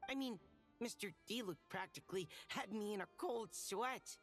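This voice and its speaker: A woman's voice: mid-range voice, moderate pace, faint music behind, close to an everyday voice. A woman voicing a nervous, earnest young male soldier in a video game, hesitant and prone to stammering. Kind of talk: video game character acting.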